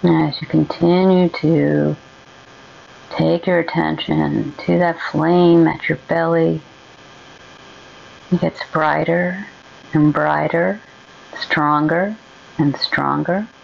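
A woman guides a meditation very slowly, in a gentle voice with a gravelly creak. She leaves long pauses between soothing instructions. The recording is slightly dull and muffled. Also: deep voice, steady tone, a little hiss or hum.

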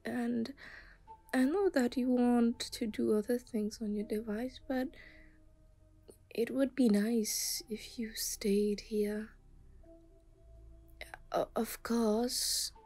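A woman voicing an obsessive, self-aware anime schoolgirl in an ASMR roleplay, soft and often whispering, shy, hesitant and stammering as she pleads. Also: on the high side, steady tone, slow pace, long pauses. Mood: hesitant, apologetic.

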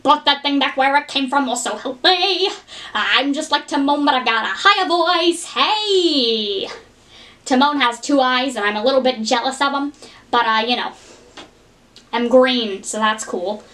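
A small, high-pitched cartoon monster guy, voiced by a woman. He is excitable and jokey, forever wisecracking. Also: unhurried, distant mic. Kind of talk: cartoon character impression.

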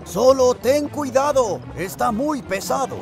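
Dramatic helicopter, latin american accent, croaky, slow, kids cartoon. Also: male voice, very high voice, expressive, music in the background, full character voice. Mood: concerned, grateful. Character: dramatic, good-natured male helicopter. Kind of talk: children's cartoon dubbing.

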